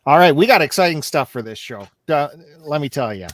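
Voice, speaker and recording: Man, host, casual live-stream chat, lively pitch, dry close sound, upbeat teasing. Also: a little fast, no room sound. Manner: upbeat, teasing the show ahead.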